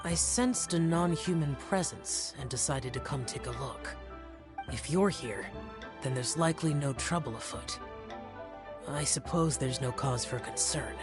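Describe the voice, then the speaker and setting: Guy doing a calm old immortal dude from a game, formal, even-keeled. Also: medium pitch, music in the background, close to an everyday voice. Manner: measured, formal, composed. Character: ancient, composed immortal lord in human form. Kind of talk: video game voice acting.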